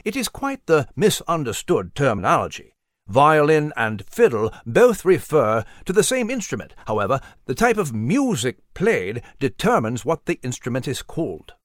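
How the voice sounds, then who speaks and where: A fussy, learned mouse from a children's tale, played by a man. He speaks in animated swoops with a pompous, formal manner, recorded close and dry. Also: medium pitch, normal speed, no room sound, lightly acted. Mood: eager, lecturing. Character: pompous, scholarly British gentleman mouse, fussy and excitable. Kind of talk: animated children's storybook character reading.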